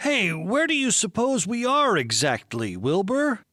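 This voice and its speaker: An eager young traveler, voiced by a male reader, courteous and full of questions. His voice is highish and very lively in pitch, like a storybook hero. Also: unhurried, no room sound, clean studio sound, heavily acted. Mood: eager, polite. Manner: courteous, inquisitive. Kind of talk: audiobook character voice.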